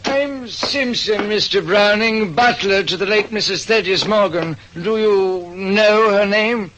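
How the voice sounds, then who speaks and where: A man's voice: high-pitched, a bit bright, slight background noise, voice pushed up. A man voicing an elderly, loyal butler with formal, deferential manners in a 1940s radio drama. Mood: formal, grave. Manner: deferential, measured, proper.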